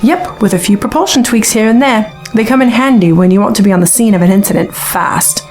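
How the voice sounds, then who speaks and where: A woman's voice: medium pitch, a little fast, a little mellow, faint music behind, close to an everyday voice. An actress playing a hard-bitten, sceptical woman reporter and pilot in a science fiction audio drama. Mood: brisk. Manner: matter-of-fact, sceptical.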